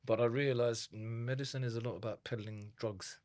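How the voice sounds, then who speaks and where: A man in his thirties from Zimbabwe, a nutrition coach being interviewed in casual chat, animated and often repeating words while he thinks. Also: mid-range voice, normal speed, no room sound. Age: 30s.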